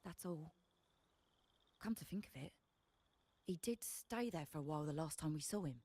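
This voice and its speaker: A woman's voice: low voice, animated, normal speed, mellow. A woman voice actor playing a blunt, practical commoner trader in a video game, with a frank, sardonic, informal manner. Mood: defensive.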